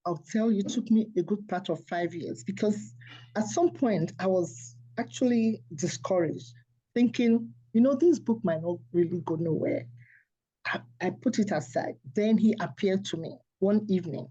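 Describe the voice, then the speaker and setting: An earnest, soft-spoken woman tells her story as an interview guest and often laughs softly. Her tone is warm and mellow. She comes through a dull, lo-fi remote line. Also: medium pitch, moderate pace, breathy edge. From Nigeria.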